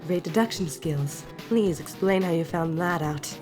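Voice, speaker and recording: A woman voicing a dry, sarcastic young companion in a game, speaking in a flat, mocking way. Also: lowish voice, normal speed, pitched below the performer's own voice, close to an everyday voice. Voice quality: deadpan, mocking. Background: faint game music.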